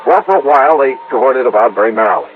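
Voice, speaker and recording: An actor playing a shrewd, probing insurance investigator with a clipped hard-boiled delivery, in old-time radio detective style. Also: male voice, mid-range voice, lively intonation, quick pace, soft background music, natural voice. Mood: tense narration. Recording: narrow, noisy vintage radio recording.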